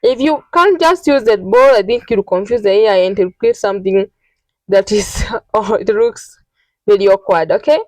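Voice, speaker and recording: A woman narrating an informal step-by-step tutorial in a halting, repetitive, instructional way. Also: mid-range voice, no echo, rough recording. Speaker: tutorial host.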